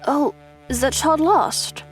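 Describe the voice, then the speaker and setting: A woman's voice: high-pitched, slow pace, faint music behind, low-quality recording. A woman voicing a blunt, self-conscious young woman with dry wit in a manga dub. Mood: hesitant. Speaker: voice actor.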